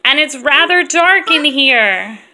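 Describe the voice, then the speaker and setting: A woman, a mother, reading aloud and chatting with young children in an expressive, encouraging way and simple phrasing. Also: high-pitched, slow pace. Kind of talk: read-aloud storytelling mixed with casual chat with children.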